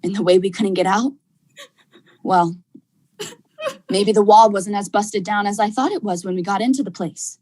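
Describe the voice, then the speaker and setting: A woman's voice: mid-range voice, moderate pace, long pauses, darkish tone, close to an everyday voice. Woman playing a stubborn, wry male crook. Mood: wry narrating. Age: young adult. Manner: folksy slangy delivery. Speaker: student actor. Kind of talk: vintage radio drama acting.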